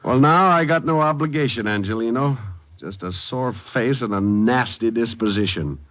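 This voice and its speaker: A man's voice: medium pitch, moderate pace, no room sound, close to an everyday voice. A man playing a tough, sardonic, wisecracking private detective in an old radio drama, with a dry, flippant delivery. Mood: wry narration. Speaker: lead actor and narrator. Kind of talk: 1940s-50s radio detective acting. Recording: dull vintage radio recording, faint music.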